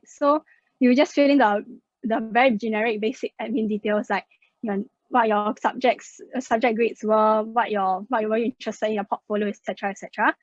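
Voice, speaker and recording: A woman's voice: a little high, no echo. A young woman presents slides about a scholarship in a casual, explanatory way, with plenty of "uh" and "like". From Singapore. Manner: chatty, many fillers like "uh" and "like". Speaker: scholarship student sharing her experience. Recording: dull, dry lo-fi sound.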